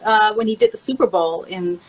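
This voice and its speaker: A woman co-host reminiscing in casual chat, in an informal, halting manner. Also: mid-range voice, low-quality recording. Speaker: co-host, memoir author.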